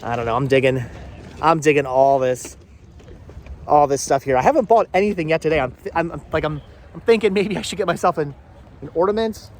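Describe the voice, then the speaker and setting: A man's voice: fairly high pitch, brisk. A chatty, upbeat man hosting a casual vlog, joking as he walks around an outdoor market. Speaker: vlog host. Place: outdoor flea market on a cold day. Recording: faint outdoor background noise.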